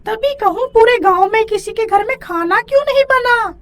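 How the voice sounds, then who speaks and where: A woman voicing a hungry, greedy witch in an animated children's story, exclaiming and gloating as she schemes. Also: very high voice, moderate pace. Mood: hungry, curious.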